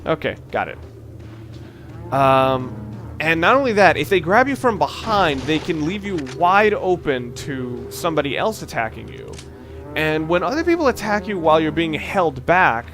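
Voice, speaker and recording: A man giving casual, halting gameplay commentary as a let's play host, rambling and thinking aloud as he plays. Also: on the high side, big pitch swings, a bit bright, a little hiss or hum.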